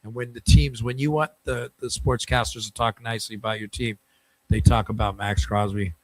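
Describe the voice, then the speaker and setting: A man's voice: lowish voice, little pitch movement, a little fast, no echo, low-quality recording. An older man co-hosting a casual sports chat, hesitant and repeating words as an enthusiastic fan. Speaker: podcast co-host.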